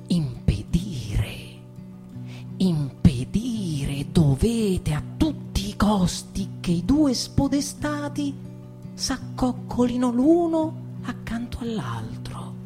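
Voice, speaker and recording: Over prominent guitar music, a woman reads literary prose very slowly and theatrically. Her pitch moves widely, and she declaims with intensity, leaving dramatic pauses between phrases. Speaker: actress reading in a radio collective. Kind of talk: theatrical dramatic reading of a novel.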